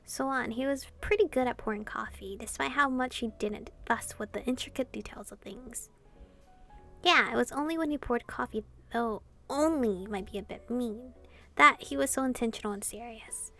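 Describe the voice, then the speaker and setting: A woman with a higher-than-average voice and plenty of vocal fry reads a visual novel aloud. She slips between expressive narration and casual, animated reactions. Game music plays behind her. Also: normal speed. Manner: animated reading, reacting informally to the story. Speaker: let's-player reading a visual novel aloud.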